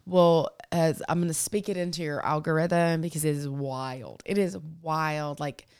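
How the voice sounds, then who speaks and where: A woman in her thirties, a podcast co-host, chatting casually and telling stories with animated, laugh-filled energy. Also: sits low, normal speed, a crowd in the background. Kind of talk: casual chat.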